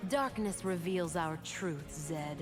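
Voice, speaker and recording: A woman's voice: fairly low pitch, slow pace. A woman playing a bitter, brooding fallen celestial of darkness in a video game, speaking with dry scorn and an ominous manner. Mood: dark menace. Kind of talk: video game character voice lines. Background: music.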